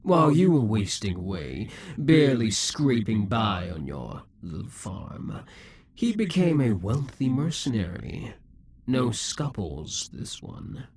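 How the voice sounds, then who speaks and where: A possessive, scheming demon king, played by a man in an audio roleplay. His voice is husky and breathy, and he often drops to a half-whisper. He draws his words out slowly, and his pitch rises and falls freely. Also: big pitch swings, a little mellow, exaggerated voice. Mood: gloating, possessive.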